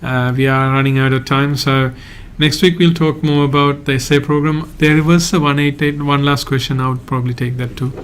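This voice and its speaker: A man from Sri Lanka, a migration agent hosting a live Q&A and answering viewer questions in a halting, hedging way. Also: mid-range voice, little pitch movement, a little hiss or hum. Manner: halting, hedges often, reads out viewer questions.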